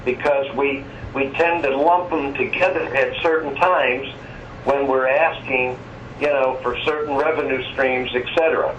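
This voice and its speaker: A man speaking haltingly and meandering through questions as a board member in a telephone meeting. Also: medium pitch, fairly even intonation, fairly bright tone. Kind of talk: informal meeting discussion. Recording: echoey muffled phone line, faint noise.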